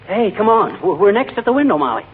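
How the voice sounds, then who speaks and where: An actor playing a grumbling, impatient, folksy husband in a 1940s radio comedy. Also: male voice, sits high, very animated, fast talker. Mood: exasperated, grumbling. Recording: tinny 1940 radio, faint noise.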